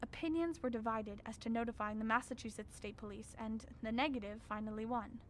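A woman playing a grave, dread-filled scholar in a radio drama, speaking in a formal, measured, ominous way. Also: fairly high pitch, slight background noise, close to an everyday voice. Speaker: actor narrating. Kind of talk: radio drama narration as a written record.

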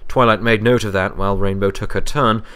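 Low-voiced male story narrator. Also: steady tone, a little fast, pushed and bright, a little hiss or hum. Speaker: audiobook narrator.

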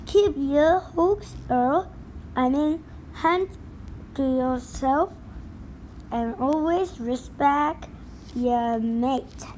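Woman doing a pirate bus captain, high and very slow, all arrs and slang, big talk but a scaredy-cat. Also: darkish tone, a little hiss or hum, low-quality recording. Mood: hearty. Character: blustering pirate captain turned bus driver, boastful but easily scared. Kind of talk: storybook read-aloud.

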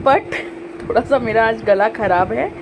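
Indian woman w/ a cold, sore raspy throat, slightly high voice, chatty recipe host, noisy home kitchen recording. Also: measured pace. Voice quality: mixes Hindi and English. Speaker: cooking channel host. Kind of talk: casual recipe demonstration voice-over. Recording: home video with noticeable background noise.

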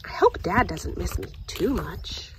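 A woman reading a children's picture book aloud in an expressive, deliberate storytelling manner. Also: fairly low pitch, a little hiss or hum, rough recording. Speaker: story time reader.

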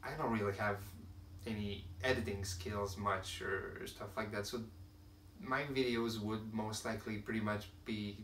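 A man's voice: fairly low pitch, steady tone, measured pace, fairly bright tone. A young man from Quebec whose first language is French, talking casually to camera as a channel host, with many fillers and restarts as he searches for words. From Quebec, Canada. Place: room at home with shelves of films and games. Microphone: camera at a distance. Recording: roomy, distant camera sound, faint hiss.

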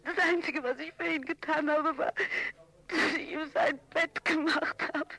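A woman's voice: high-pitched, steady tone, moderate pace, creaky, pushed and bright, heavily acted, poor audio. A woman playing a distraught, grieving young secretary in a radio drama, sounding upset and tearful. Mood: grief-stricken.

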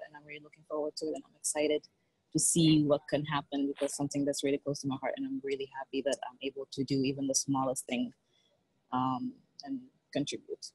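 A woman in her twenties introducing herself informally on a video call, pausing often mid-sentence through an earphone mic. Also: low voice, completely flat delivery, a little mellow, husky, no room sound, rough recording. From born in Egypt, lived in Israel. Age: 20s. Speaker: student ambassador. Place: seat on a train or bus. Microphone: wired earphone headset on video call.

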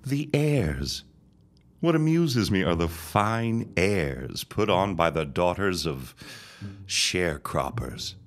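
An actor playing a vengeful, menacing old reverend who taunts with pompous, mock-courtly manners in a period audio drama. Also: male voice, deep voice, expressive, takes it slowly, exaggerated voice. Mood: contemptuous.

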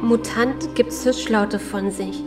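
Survivor role, actress: serious, defiant woman in horror audio drama, grave delivery, clear music underneath. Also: a little high, slow pace. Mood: grave reading. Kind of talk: German audio drama acting.

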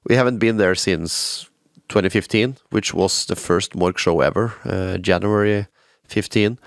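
A man's voice: deep voice, unhurried, gravelly, dry recording, clean studio sound. A man hosting a podcast, telling news in a rambling way with many fillers, sounding nasal from a stuffy nose due to a cold. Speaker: podcast host and band musician. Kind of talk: solo podcast update.

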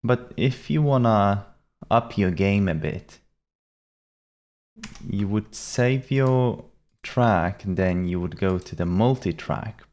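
A young adult man explaining software step by step in a measured, instructional way. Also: lowish voice. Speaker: tutorial presenter. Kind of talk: step-by-step software tutorial narration. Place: small indoor room at a computer.

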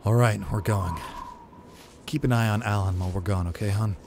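A man's voice: on the low side, fairly even intonation, a little fast, background noise, natural voice. A man voicing a tense, decisive survivor leader and protective father in a comic dub. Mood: steady, concerned. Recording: loud music bed under the voice.

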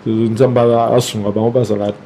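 A Congolese man in his forties with a Kinshasa accent, a singer talking in a casual studio interview, halting with many fillers and restarts. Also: fairly low pitch, level tone, warm tone, a little hiss or hum. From Democratic Republic of the Congo. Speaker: singer guest. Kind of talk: casual interview talk mixing Lingala and French. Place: small TV studio, indoors. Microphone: desk mic on stand, close.

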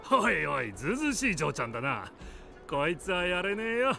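A man voicing a brash, jovial desert traveler in an anime game, with rough casual speech. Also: high-pitched, very animated, a little fast, sharp tone, faint music behind, heavily acted. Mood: amused, brash.

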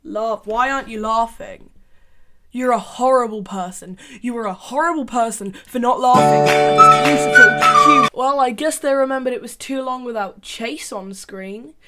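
A young woman speaking into a desk microphone in a small bedroom. Her commentary is dry, deadpan and sarcastic, with a voice sitting slightly high. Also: moderate pace, soft background music. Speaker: YouTube commentator. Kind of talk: sarcastic commentary on a reality show. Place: small bedroom with colored lights. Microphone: large desk microphone.

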